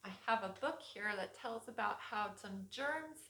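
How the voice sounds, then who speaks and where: A woman preschool teacher reading a storybook aloud to young children in a rhythmic, expressive way. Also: medium pitch, measured pace, a little hiss or hum. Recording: echoey room.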